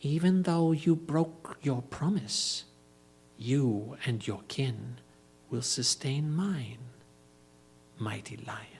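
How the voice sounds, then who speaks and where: A man's voice: mid-range voice, slow pace, creaky, a little mellow, breathy edge, exaggerated voice. A man voicing a menacing, ruthless fat mouse with grave, formal speech in a told story. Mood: grim, menacing. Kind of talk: storytelling stage reading.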